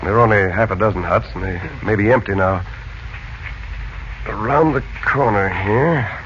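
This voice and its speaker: An actor playing a resolute, protective heroic uncle in a 1940s-style radio serial, with a commanding, deliberate delivery. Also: male voice, on the low side, a bit bright, lightly acted. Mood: calm, purposeful. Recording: dull lo-fi old radio, faint noise.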